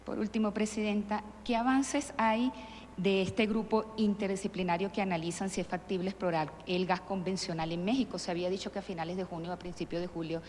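A woman reporter asking brisk, direct questions at a press conference. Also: mid-range voice, fairly even intonation, a little mellow, in a large hall, a little hiss or hum.

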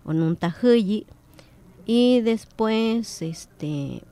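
Slow, mellow-voiced woman guest. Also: fairly high pitch, expressive. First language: Popoluca. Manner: slow, hesitant, many fillers. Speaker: interview guest, linguist and translator. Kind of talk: radio interview.

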